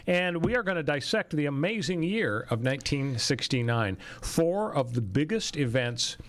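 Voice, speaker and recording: A man's voice: fairly high pitch, lively intonation, normal speed. A man hosting a radio talk show in a joking, teasing, bantering style.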